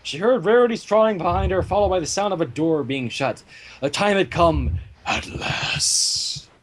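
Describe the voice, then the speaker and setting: A man reading fan fiction aloud as the narrator, in an animated storytelling style. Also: high voice, moderate pace.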